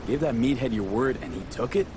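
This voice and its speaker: A man's voice: mid-range voice, expressive, quick pace, lightly acted. An actor playing a cool, pragmatic male street mercenary in a video game, talking in clipped, matter-of-fact phrases. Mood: probing. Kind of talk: naturalistic video game acting. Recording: vehicle and ambience noise behind.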